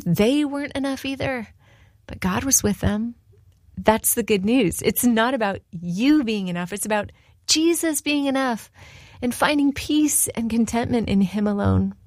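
Woman, devotional message, higher voice, dry sound. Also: no echo. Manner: earnest, encouraging.

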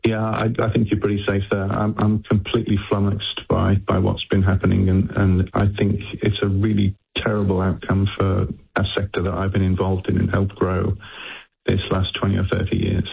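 An older man from England with a Liverpool accent, a scientist interviewed by phone, speaking haltingly and repeating words as he thinks. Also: sits low, completely flat delivery, vocal fry, husky, faint music behind. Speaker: scientist guest. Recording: tinny phone line.

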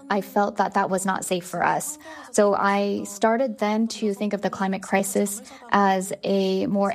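Female interpreter, medium pitch, relaying a guest's interview answers in the first person.

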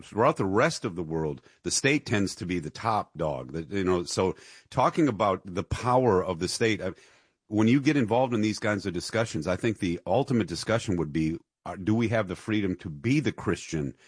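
Deep husky male host, dry close sound. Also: animated, moderate pace, dry recording. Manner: chatty, interjecting, many "you know"s. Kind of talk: interview conversation.

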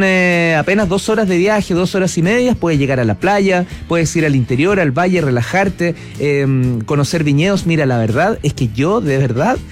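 Chatty Chilean male radio host. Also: fairly high pitch. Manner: chatty, hesitant, with fillers and self-corrections. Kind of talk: casual radio interview.

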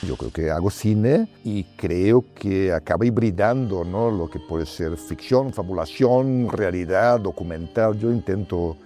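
Director, deep mellow voice, musing interview talk. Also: male voice, a little slow, few pauses, faint music behind. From Brazil. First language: Portuguese. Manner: thoughtful, tags phrases with a questioning "no?". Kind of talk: reflective interview answers.

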